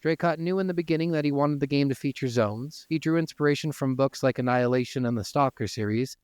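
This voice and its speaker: A man's voice: medium pitch, fast talker, darkish tone, clear, resonant tone, dry recording. A man hosting a casual gaming podcast, moving between informal, sweary chat and reading from notes. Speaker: podcast host.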